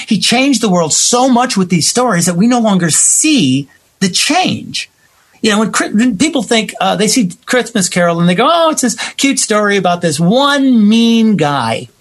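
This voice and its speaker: A man being interviewed as a theater writer and director, talking conversationally and expressively, acting out imagined quotes as he tells stories. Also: high-pitched.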